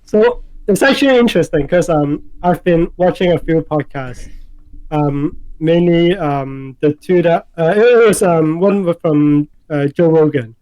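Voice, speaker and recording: A man chatting on a podcast, his voice sitting a little higher than most men's. He stumbles and repeats himself as he talks. The sound is close and dry, with no room echo. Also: darkish tone. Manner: halting, repeats words and fills with um and uh. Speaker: podcast co-host. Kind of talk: casual chat.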